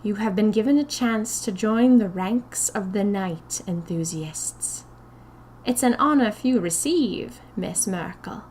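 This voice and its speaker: A woman's voice: medium pitch, slow pace, a little mellow, slight background noise, lightly acted. A woman voicing a small, stern, schoolmistress-like leader of a sinister magical society in audio fiction, speaking in a commanding, formal, ceremonious way. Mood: imperious, solemn.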